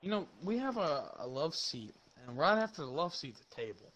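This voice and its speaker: A man with a fairly high voice tells a story in a loose, informal way, voicing the people in it. It sounds like a gaming party chat, with clear background noise and clicks. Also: very animated, brisk, fairly bright tone. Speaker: gamer in a party chat telling a childhood story. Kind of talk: casual storytelling over online game chat. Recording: noisy game voice chat with clicks.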